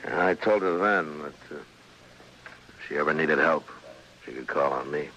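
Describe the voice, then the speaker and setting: Very deep-voiced, laconic frontier lawman. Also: pushed and bright, full character voice, rough recording. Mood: subdued, reflective. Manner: dry, measured, sardonic. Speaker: lead actor in a radio western. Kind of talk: old-time radio western acting. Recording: vintage radio drama, crowd murmur behind.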